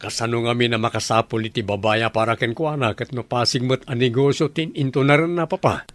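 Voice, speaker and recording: A man plays a self-assured Ilocano elder brother and devoted single father in a radio drama. His voice sits at a medium pitch. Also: a little fast, fairly bright tone, dry recording, lightly acted. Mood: breezy, teasing.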